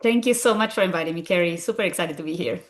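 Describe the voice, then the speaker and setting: A woman in her forties from Argentina, speaking with an Argentine Spanish accent, describing her path from medicine to founding a school in an animated, earnest way with many fillers. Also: lowish voice, rapid-fire. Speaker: guest, school founder and physician. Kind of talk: conversational interview.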